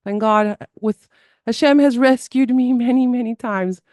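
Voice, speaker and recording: South African woman, smooth dry voice. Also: on the high side, normal speed, a little mellow, dry recording. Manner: earnest, with frequent restarts and repeated words. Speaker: guest, somatic therapist. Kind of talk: conversational interview.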